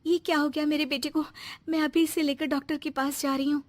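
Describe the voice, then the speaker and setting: A woman's voice: sits high, flat delivery, brisk, no room sound, natural voice. A woman playing a devoted, emotional young daughter-in-law and mother in a moral-story cartoon, earnest and dramatic. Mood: distraught, pleading.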